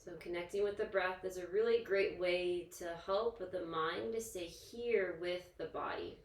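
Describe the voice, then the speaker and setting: A woman yoga instructor leading a guided relaxation in a soothing, gentle, informal manner, leaving pauses between instructions. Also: fairly low pitch, fairly even intonation. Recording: echoey, roomy sound.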